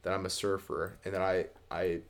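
A young American man from the Midwest co-hosting a podcast, chatting casually and comedically in a rambling, halting way with casual swearing. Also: deep voice, flat delivery, clean tone. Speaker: podcast co-host.

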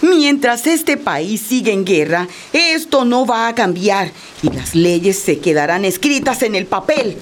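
A woman's voice: mid-range voice, big pitch swings, unhurried, hardly any pauses, a little hiss or hum. An actress playing a resolute, compassionate older Colombian woman displaced by violence, speaking earnestly in a radio drama. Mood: firm, reproachful. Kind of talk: radio drama monologue and dialogue. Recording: loud guitar music under the voice.

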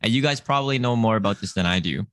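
A man's voice: fairly low pitch, lively intonation, fast, a bit bright. A man hosting a casual chat among friends, rambling with many fillers. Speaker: podcast host.

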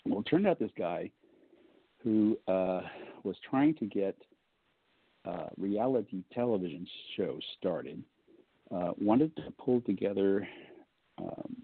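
Male guest with a deep baritone voice, loose and chatty, heard over a thin phone line. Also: lively intonation, slow, pauses often, slightly rough, no room sound. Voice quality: low baritone voice. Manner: rambling, joking, many fillers. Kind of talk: casual storytelling on a call-in show.

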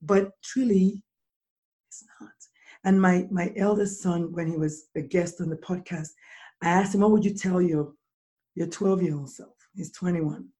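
Woman, middle-aged, podcast host, deep husky voice, low pitch, dark tone, casual interview. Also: fairly even intonation, unhurried. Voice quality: deep, husky from swollen vocal cords.

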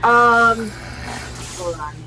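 A woman's voice: fairly high pitch, extremely slow, sharp tone, soft background music. A woman co-hosting a podcast, chatting playfully and enthusiastically as a movie plays. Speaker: podcast co-host. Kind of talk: casual movie reaction chat.

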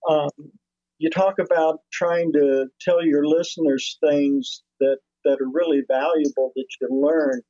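Older man, mellow rambling storyteller. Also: a little high, level tone, a little slow, no echo, dull audio. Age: 70s. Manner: rambling, many restarts and fillers. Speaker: guest musician and producer. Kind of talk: casual interview storytelling.

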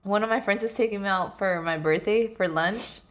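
A woman's voice: mid-range voice, normal speed. Slangy, playful woman in her twenties. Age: 20s. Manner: slangy and playful, insistent when arguing. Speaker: podcast co-host. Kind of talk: casual chat.

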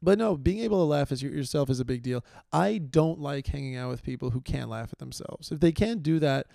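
Gravelly-voiced man rambling through a comedy podcast. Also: fairly high pitch, fairly quick, dark tone, no room sound, studio quality. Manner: rambling, self-deprecating, many fillers and restarts. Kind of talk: casual solo comedy monologue. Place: small room, filmed on video.

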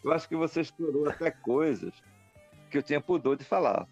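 A man's voice: a little high, fairly quick, husky, no echo, soft background music. An older man from Recife, Brazil, a singer-songwriter guest speaking reservedly in an interview. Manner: reserved, warm laughter. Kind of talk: casual interview chat. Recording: dull lo-fi sound.

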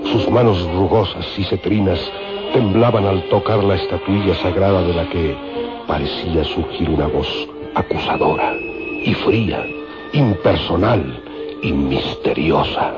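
A man narrating an old radio drama serial in a dramatic, suspenseful scripted style with measured phrasing. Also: sits low, huge pitch swings. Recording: muffled old radio recording with loud music.

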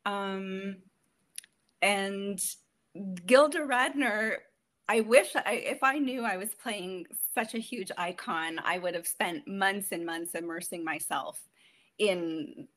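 A woman's voice: mid-range voice, slow, a bit bright. A woman in her thirties from Ontario, Canada, a guest actress chatting in an interview, telling stories and laughing often. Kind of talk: casual interview chat.

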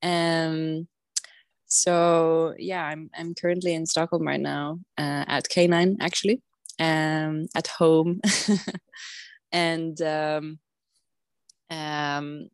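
Woman guest, low, slow and reflective, on a remote call from home. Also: little pitch movement, clean tone, no echo, clean studio sound. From southern Sweden. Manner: thoughtful, unhurried, often pausing to think. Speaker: podcast guest. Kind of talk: casual conversational interview. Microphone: remote online call.

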